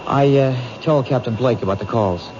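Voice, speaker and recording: An actor playing an earnest, protective police detective in a 1970s radio drama, plain-spoken and persistent. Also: male voice, medium pitch, moderate pace, lightly acted. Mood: friendly. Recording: muffled, hissy old radio recording.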